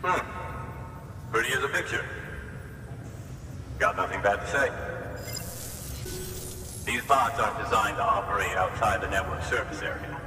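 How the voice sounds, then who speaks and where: Actor as a plain-spoken technician in echoey hall. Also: male voice, on the low side, unhurried, pauses often, natural voice. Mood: appraising, businesslike. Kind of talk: scripted video game dialogue. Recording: distant echoey hall under loud music.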